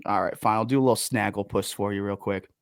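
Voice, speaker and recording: A man's voice: lowish voice, steady tone, fast. A young man on a podcast as a guest, talking casually and animatedly, swearing freely. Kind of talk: casual chat.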